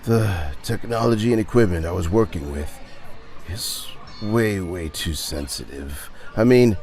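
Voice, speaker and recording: A man voicing a dejected, self-blaming research scientist in an audio drama, speaking haltingly and emphatically. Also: lowish voice, a little mellow. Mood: bitter, frustrated.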